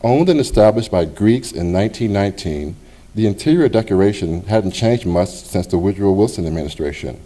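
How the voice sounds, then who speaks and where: A middle-aged man reading his personal essay aloud at a public library event, in a measured, expressive way with pauses. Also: lowish voice.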